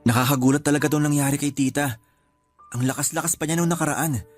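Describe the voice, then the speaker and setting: An actor playing a caring, apologetic friend who sees spirits, in a Filipino radio drama, gentle and hesitant in manner. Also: male voice, on the high side, fairly even intonation, fast, natural voice. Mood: sympathetic. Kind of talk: Tagalog radio drama acting. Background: background music.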